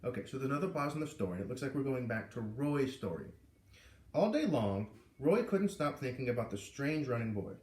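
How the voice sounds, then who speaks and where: A man reading a story aloud in an expressive, informal way, narrating like a storybook reader. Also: mid-range voice, fairly quick. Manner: animated, informal asides. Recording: echoey room, far from the mic.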